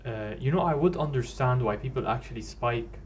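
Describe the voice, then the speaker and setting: A man hosting a casual podcast interview at a middle pitch. He speaks in a measured way and often pauses on "uh" and "um." A faint hiss sits under his voice. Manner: measured, hesitant, many "uh"s. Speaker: podcast host.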